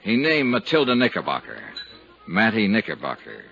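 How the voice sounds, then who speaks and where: A low, lively American man's voice as a probing radio detective of the 1950s. He is blunt and clipped, questioning directly. The sound is a dull old broadcast with faint music behind it. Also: moderate pace, gravelly, exaggerated voice. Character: blunt, probing male insurance investigator. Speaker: radio drama lead, narrator.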